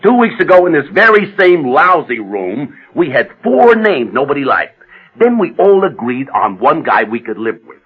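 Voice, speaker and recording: A dull, lo-fi radio play recording of a gruff party boss. His voice is husky and swings widely in pitch as he barks out blunt, slangy backroom talk with impatience. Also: sing-song, normal speed. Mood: eager. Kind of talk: radio drama acting.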